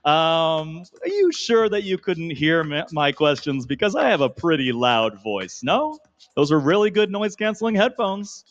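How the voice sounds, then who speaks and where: A man's voice: fairly high pitch, big pitch swings, moderate pace, lo-fi sound. A man co-hosting a live pageant on an arena stage, upbeat and joking with his co-hosts. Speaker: pageant co-host.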